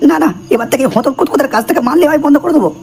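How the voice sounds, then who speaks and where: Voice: high voice, very fast. A performer voicing a grumbling, foul-mouthed, jumpy character who mutters to themselves in a horror comedy cartoon. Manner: muttering complaints to self. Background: music.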